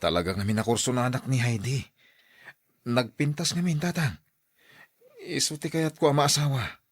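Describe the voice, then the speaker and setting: A man's voice: mid-range voice, normal speed, slightly rough, natural voice. An actor playing a stubborn, lovestruck young man, a devoted son, in an Ilocano radio drama. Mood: earnest, pleading. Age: young adult.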